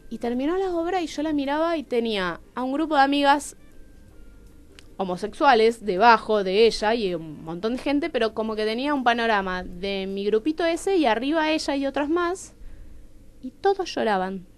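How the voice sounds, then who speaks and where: A woman's voice: on the high side, expressive, normal speed, smooth, soft background music. A woman with a Rioplatense Argentine accent, telling personal stories expressively as a guest in a radio interview. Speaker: playwright and radio show guest. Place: radio studio.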